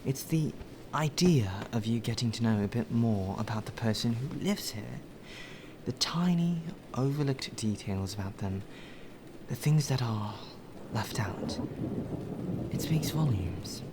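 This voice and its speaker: A man acts out a polite, fussy butler in an intimate ASMR audio drama. His delivery is slow, measured and deferential, with careful formal phrasing at an ordinary male pitch. The home recording carries clear ambient noise. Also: expressive. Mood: musing, insinuating. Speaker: voice actor in audio roleplay. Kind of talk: soft ASMR roleplay acting.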